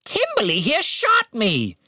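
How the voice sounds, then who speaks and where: A woman voicing an indignant, sarcastic male giant raven in an audiobook, with screeching, drawn-out delivery. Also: a little high, huge pitch swings, bright, forceful tone, no echo, clean studio sound, voice pushed up, exaggerated voice. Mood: scornful.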